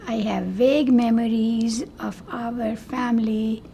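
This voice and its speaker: Older lady from pakistan, really unhurried, soft mellow tone, measured, talking for a documentary. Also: fairly high pitch, little pitch movement, creaky, a little hiss or hum. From Pakistan, born in Delhi. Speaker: documentary interviewee. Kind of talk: measured personal recollection.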